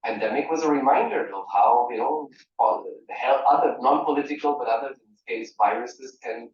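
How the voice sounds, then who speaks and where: A man from Portugal speaking as a panelist in a conversational discussion, animated, restarting phrases and often asking "right?". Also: medium pitch, a little fast. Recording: roomy, distant, dull hall mic.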